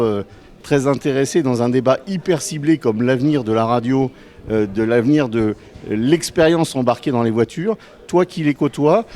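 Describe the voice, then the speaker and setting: Very mellow-voiced male debate moderator. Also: mid-range voice. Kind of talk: spontaneous live debate moderation.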